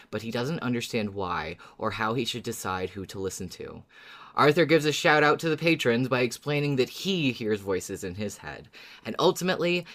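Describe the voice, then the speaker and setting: A man's voice: fairly low pitch, fairly bright tone. A man co-hosting a fan podcast, chatting and recapping in a casual, playful, enthusiastic way. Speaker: podcast co-host.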